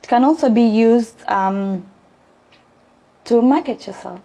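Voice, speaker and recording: Young woman, gravelly, warm dark tone, from South Sudan, earnest, hesitant. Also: a little high, slow. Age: young adult. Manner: earnest, hesitant with many ums. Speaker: interview guest. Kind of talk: conversational interview.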